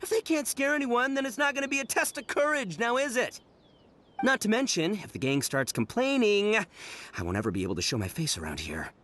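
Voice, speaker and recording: A boastful young oni gang boss for an anime game. His voice is high, bright and punchy, with big lively swings. He swaggers in slangy, theatrical bursts over music. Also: brisk, a little hiss or hum. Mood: animated griping. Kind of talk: anime game dub acting.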